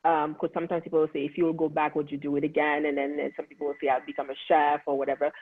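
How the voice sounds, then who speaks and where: A Haitian-accented man, a physician on a conference panel, speaks earnestly and without hurry, often pausing on fillers. His voice sits fairly high for a man. It comes through a narrow, tinny, phone-like line. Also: monotone, slight background noise. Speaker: OB-GYN physician. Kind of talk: panel conversation.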